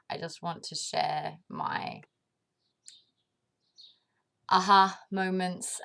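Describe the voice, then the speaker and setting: Slow, thoughtful woman from Denmark. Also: on the low side, a bit bright. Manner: thoughtful, pauses often with ums, laughs at herself. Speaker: vlogger. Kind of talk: casual reflective monologue to camera.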